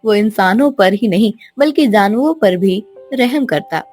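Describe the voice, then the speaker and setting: A woman reads a scripted children's story. Her pitch is mid-range and her pace unhurried, with background music running beneath the narration. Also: expressive, a little mellow.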